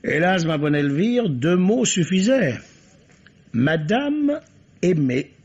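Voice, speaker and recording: An actor playing a shrewd, courteous, self-assured police commissioner in a formal, theatrical radio mystery style. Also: male voice, high-pitched, very animated, slow pace, a little mellow, husky, full character voice. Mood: smug, teasing. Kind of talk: vintage French radio mystery acting. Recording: dull, muffled old radio recording.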